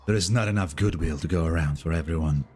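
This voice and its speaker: A man voicing a stern, disillusioned mercenary commander in a dramatic game dub, speaking gravely and deliberately. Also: low voice, darkish tone, natural voice. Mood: grave, resolute.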